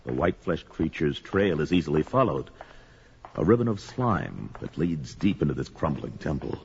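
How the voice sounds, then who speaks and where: An actor playing a proud, gruff barbarian warrior who narrates his own actions in archaic, melodramatic audio-drama style. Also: very deep voice, big pitch swings, normal speed, a little hiss or hum, exaggerated voice. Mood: grim, brooding. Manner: archaic, bombastic speech with oaths. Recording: dull lo-fi recording with loud music bed. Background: dramatic music.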